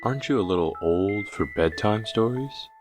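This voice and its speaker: A man playing a gentle, indulgent father at bedtime in a horror audio drama. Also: fairly low pitch, animated, moderate pace, music in the background, close to an everyday voice. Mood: gently relenting.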